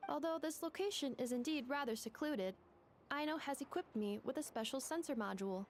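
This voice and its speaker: Woman playing a high-voiced, precise robot girl. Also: fairly quick, soft background music, close to an everyday voice. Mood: calm, analytical. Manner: formal, clipped, literal machine phrasing. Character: polite, analytical female robot assistant. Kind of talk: video game dialogue.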